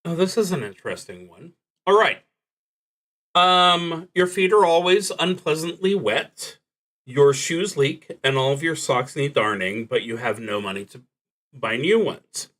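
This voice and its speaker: Bright, forceful man narrating a solo game. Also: on the high side, expressive, measured pace. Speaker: solo tabletop roleplaying streamer. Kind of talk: live play narration, thinking aloud.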